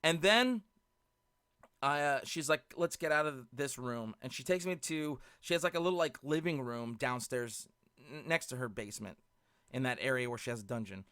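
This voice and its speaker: Male storyteller, animated and profane, bright forceful tone, close dry sound, slips into reenacted dialogue. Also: mid-range voice, moderate pace, clean tone, dry recording. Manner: animated, laughing as he talks, reenacts both sides of conversations. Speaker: storyteller and host of a live show. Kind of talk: casual, profane anecdote storytelling with acted dialogue.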